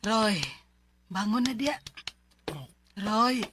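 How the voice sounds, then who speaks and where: An actress with a Filipino Bisaya accent playing a bossy, scolding middle-aged aunt with a husky voice in a radio drama. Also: female voice, a little high, steady tone, extremely slow, over music. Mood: curt, bossy. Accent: Filipino, Cebuano Bisaya. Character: harsh, scolding middle-aged aunt who begrudges her orphaned nephew.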